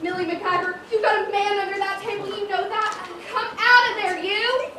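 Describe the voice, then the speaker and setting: Woman acting a bossy, high-pitched rustic matron. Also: steady tone, moderate pace. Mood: suspicious, outraged. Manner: blunt, scolding, folksy ungrammatical speech. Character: brash, bossy, suspicious rustic mature woman customer. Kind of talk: amateur one-act stage comedy acting. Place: stage in a large echoey room. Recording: echoey stage, noisy, far-off mic.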